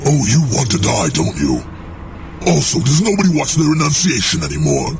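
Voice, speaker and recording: Haughty demon overlord played by a man, gravelly and scornful, swooping delivery, comic radio drama. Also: mid-range voice, huge pitch swings, fairly quick, slight background noise. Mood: menacing disdain. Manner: sarcastic, scornful, condescending. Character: haughty, sarcastic demon lord boss, male. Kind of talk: comic radio play as a voice chat.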